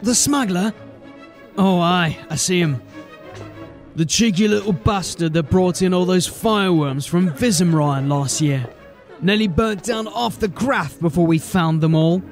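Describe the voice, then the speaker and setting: A man's voice: sits high, a little slow, faint music behind. A man playing a blunt, wry city watch officer in a fantasy audio drama. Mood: scornful.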